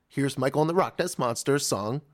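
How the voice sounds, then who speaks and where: A man's voice: medium pitch, expressive, brisk. A middle-aged man hosting a children's radio show, chatting casually and enthusiastically as he introduces songs. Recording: talk over loud background music. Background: loud music.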